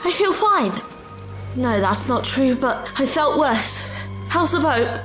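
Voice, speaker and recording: A woman acting a plucky young traveller in an audio drama, with an earnest, expressive delivery. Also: high-pitched, slow pace, soft background music. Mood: pained, worried. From England.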